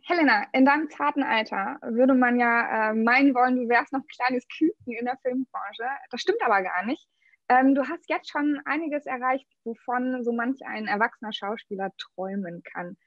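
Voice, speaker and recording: Woman doing a chatty interview, kinda high voice, dull dry sound, lots of ähms. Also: moderate pace, dry recording. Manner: friendly, chatty, with hesitant fillers. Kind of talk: casual interview questions.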